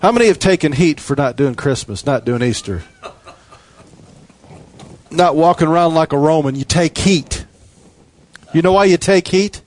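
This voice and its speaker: Guy preaching a sermon, kinda high voice, punchy repeated lines. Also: moderate pace, pauses often. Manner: emphatic, repetitive preaching cadence.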